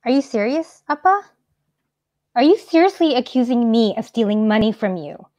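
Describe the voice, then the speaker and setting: A young woman playing a frustrated, defensive grown daughter, sharp and exasperated, on a video call. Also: fairly high pitch, lively intonation, normal speed, muffled recording, natural voice.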